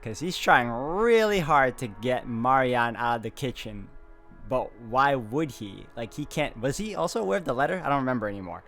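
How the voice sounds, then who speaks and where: Male playthrough host: reads visual novel narration dramatically, chats casually between passages, clear game music behind him. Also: mid-range voice, lively intonation, normal speed, a bit bright.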